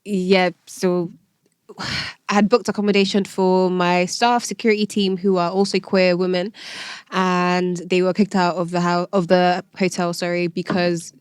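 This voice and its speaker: A woman's voice: on the low side, little pitch movement, a little slow, smooth, no room sound, studio quality. A woman from Nigeria with a Nigerian accent, telling personal stories candidly and conversationally as a podcast guest. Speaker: podcast guest, event organiser.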